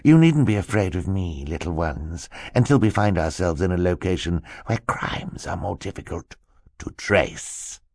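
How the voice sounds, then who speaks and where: A man voicing a sly, menacing villain in an audiobook, speaking in a slow, sneaky, sinister way. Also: deep voice, lively intonation, darkish tone, dry recording, clean studio sound. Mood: sneering threat.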